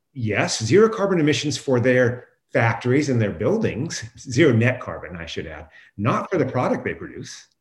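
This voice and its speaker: A man talks as a science expert in an interview. His voice sits at an average pitch, and he explains with emphasis in unscripted speech. The recording is roomy and echoing. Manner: explanatory, emphatic, quotes imagined objectors. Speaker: guest professor and climate scientist.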